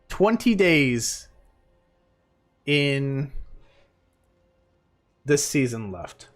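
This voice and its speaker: Tired, chatty streamer guy with lively pitch. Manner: rambling, chatty, lots of fillers. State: tired, losing his voice. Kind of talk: casual live-stream chat.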